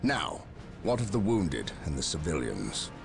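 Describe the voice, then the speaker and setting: A deep, commanding warrior captain. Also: male voice, big pitch swings, a little slow, vocal fry, full character voice. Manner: commanding, curt orders. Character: proud, commanding male space-marine captain. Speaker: game character. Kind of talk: video game cutscene acting. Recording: game cutscene with music underneath.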